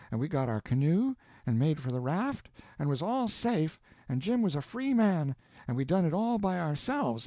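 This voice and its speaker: A man voicing an excitable, boastful young country boy who rattles off his exploits eagerly. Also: fairly high pitch, dry recording.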